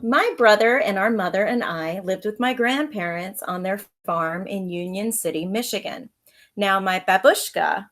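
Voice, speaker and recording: Lady teacher reading a kids book out loud at home, expressive, normal mid pitched voice. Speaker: teacher reading aloud to pupils. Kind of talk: expressive children's storybook narration.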